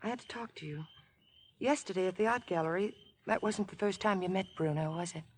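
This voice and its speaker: An actress playing an anxious, devoted young woman, earnest and insistent, with a hushed, breathy voice in 1950s radio drama style. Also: medium pitch, a little fast, slight background noise, natural voice. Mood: hesitant, worried. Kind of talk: 1950s radio suspense acting.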